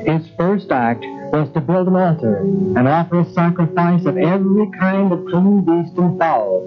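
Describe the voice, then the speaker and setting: A man's voice: fairly high pitch, expressive, moderate pace. A man narrating a church radio drama in a formal, reverent storytelling style. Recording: dull vintage tape, music behind.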